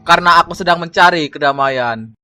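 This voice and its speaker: A man's voice: a little high, brisk, bright, forceful tone. A man playing a proud prince turned helpful wanderer in a folk tale cartoon, speaking formally and earnestly. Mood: earnest, resolute.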